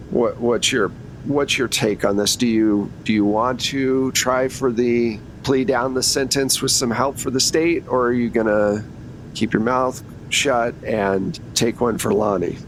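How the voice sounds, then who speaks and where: A man's voice: natural voice, rough recording. A man playing a tired, frank public defender in a tabletop game, casual and a little hesitant. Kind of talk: improvised tabletop roleplay. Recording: rumbly background noise.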